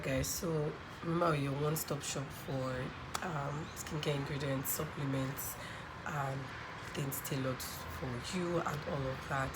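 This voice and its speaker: A woman from Nigeria with a croaky voice from a cold, chatting casually on camera about a skincare product and often adding "um" and "okay". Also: a bass voice. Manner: chatty, many ums and okays. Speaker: skincare vlogger. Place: home room by a sunny window near a road. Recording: clear traffic noise behind. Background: passing cars.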